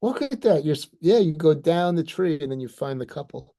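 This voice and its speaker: A man's voice: fairly high pitch, expressive, a little fast, dark tone. A man co-hosting a show, chatting casually and reacting with exclamations and enthusiasm. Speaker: show co-host. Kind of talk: casual chat, reacting to comic art.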